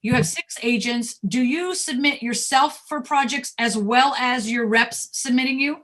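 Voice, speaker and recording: A woman with a somewhat high voice conducts an interview. She is inquisitive and conversational, putting questions and repeating points back to check her understanding. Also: unhurried, a bit bright. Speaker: interviewer, acting talent manager.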